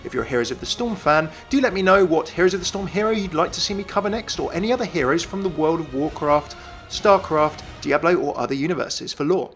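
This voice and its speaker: A man's voice: on the high side, expressive, fast, faint music behind. A man narrating a scripted gaming video as its presenter.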